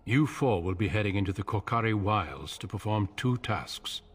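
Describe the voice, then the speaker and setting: An actor playing a stern, composed veteran warrior commander in a fantasy game, speaking in a measured, formal way. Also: male voice, on the low side, lively intonation, breathy edge. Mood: calm command.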